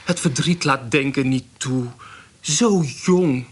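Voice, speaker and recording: An actor playing a fawning town magistrate in a radio drama, formal, gallant and unctuous. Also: male voice, medium pitch, slow pace, a little hiss or hum, close to an everyday voice. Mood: obliging, unctuous. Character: fawning small-town magistrate, flattering a young widow.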